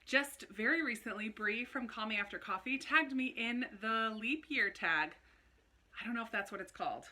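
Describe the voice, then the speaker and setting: Bright, chatty woman vlogger. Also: a little high, normal speed. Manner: animated, informal, self-interrupting asides. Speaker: book review video host. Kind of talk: casual chatty vlog. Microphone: phone camera recording.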